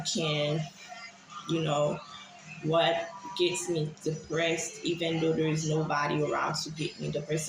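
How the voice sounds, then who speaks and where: A woman from Haiti giving a personal, confessional monologue to viewers in a hesitant, halting way, often repeating herself. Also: low voice, echoey room, background noise. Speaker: vlogger sharing personal experience.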